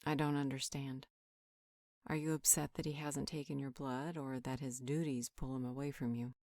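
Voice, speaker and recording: Lady doing a motherly healer, lowish voice, soothing and affectionate, dry close sound, roleplay audio. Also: little pitch movement, moderate pace, a little mellow, no echo. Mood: thoughtful, uncertain. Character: nurturing, protective female magical healer and mother figure.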